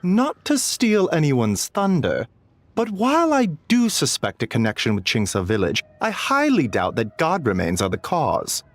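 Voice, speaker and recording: An actor playing a gentle, modest doctor in a video game, speaking in a polite, formal, measured manner. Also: male voice, on the high side, wildly swooping pitch, soft background music. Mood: thoughtful. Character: gentle, courteous male doctor, composed and modest.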